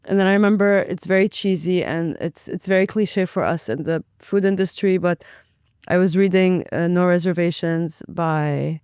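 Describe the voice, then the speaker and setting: A young woman from Lebanon who owns a restaurant, talking as a guest in a chatty, animated way and acting out her own past thoughts. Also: fairly low pitch, normal speed, smooth, no echo, studio quality. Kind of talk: casual interview.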